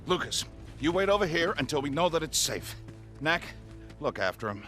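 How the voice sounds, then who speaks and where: An actor playing a wry, confident, middle-aged inventor doctor in a video game, speaking articulately. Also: male voice, on the high side, sing-song, normal speed, sharp tone, soft background music. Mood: firm. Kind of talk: video game cutscene acting.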